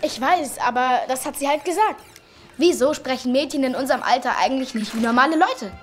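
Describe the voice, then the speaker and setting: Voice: high-pitched, brisk, soft background music, natural voice. A performer playing a scheming, self-important middle-school boy in a German audio drama, earnest and cocksure, turning whiny when flustered.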